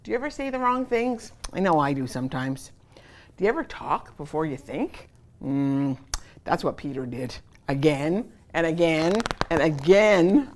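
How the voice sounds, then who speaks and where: A woman's voice: low-pitched, lively intonation, a little slow, a little mellow, slight background noise. A woman hosting a children's story time, reading aloud expressively and putting questions to her young listeners. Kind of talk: animated picture-book read-aloud for children.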